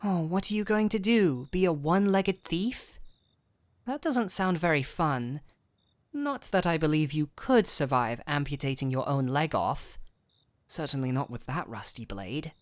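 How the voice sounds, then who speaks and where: A woman playing a gentle but firm fantasy physician, calm and wry, in an intimate audio roleplay with measured, coaxing delivery. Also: low-pitched, no room sound. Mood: teasing. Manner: measured, coaxing, wryly stern. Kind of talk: intimate scripted audio roleplay addressed to the listener.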